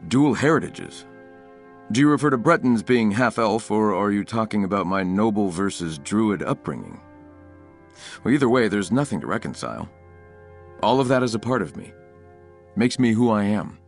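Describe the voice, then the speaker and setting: A man's voice: lowish voice, animated, moderate pace, pauses often, faint music behind. A man voicing a self-assured half-elf of noble upbringing in conversational fantasy game dialogue. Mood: confident.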